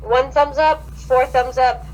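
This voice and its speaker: A woman's voice: high-pitched, steady tone, slow, a little mellow, slight background noise, low-quality recording. A woman hosting a podcast, giving a theatrical, mocking comedic reading of fan fiction. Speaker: podcast host.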